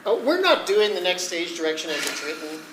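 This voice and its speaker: Woman acting exasperated, lively stage actor, echoey, noisy. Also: on the low side, brisk. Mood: protesting. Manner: protesting, incredulous. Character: reluctant, exasperated stage actor dreading public ridicule. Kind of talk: live amateur one-act stage comedy. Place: indoor theatre stage. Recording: echoey, noisy live theatre recording.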